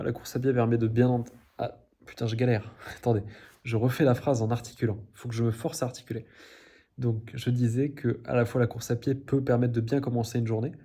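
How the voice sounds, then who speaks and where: A man's voice: mid-range voice, warm tone. A young man chatting casually to the camera in a daily video diary, rambling with many fillers and restarts and laughing at his own slips. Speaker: vlogger.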